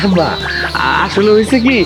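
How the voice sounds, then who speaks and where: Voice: medium pitch, normal speed, over loud music, lightly acted. An actor with a Bangladeshi accent playing a stingy, pleading son-in-law in a rural comedy drama.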